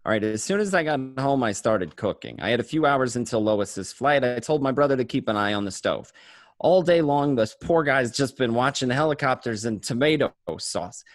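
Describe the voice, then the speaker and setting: A man's voice: medium pitch, lively intonation, moderate pace, dry recording, clean studio sound, natural voice. An actor playing a hot-headed, anxious gangster in a radio play, telling his story in profane, stammering bursts. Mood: weary recounting. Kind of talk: first-person crime narration and dialogue, radio play.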